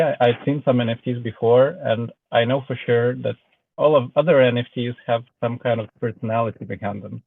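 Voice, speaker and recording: A man from Lithuania speaking English with a Lithuanian accent, a guest artist chatting casually, pausing often with filler sounds. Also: medium pitch. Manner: hesitant, many filler sounds. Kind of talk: casual chat. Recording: tinny phone-like online call audio.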